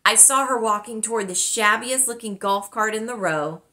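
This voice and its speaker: A woman narrating a children's novel aloud as its author, with expressive, scripted storytelling delivery. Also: fairly high pitch, normal speed. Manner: expressive, clearly dramatized delivery.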